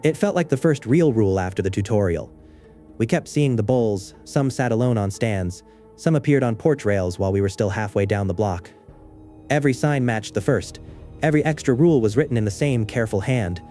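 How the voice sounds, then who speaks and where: Bright-voiced man narrating an eerie story. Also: fairly low pitch, expressive, a little fast, soft background music. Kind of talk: scripted first-person horror story narration.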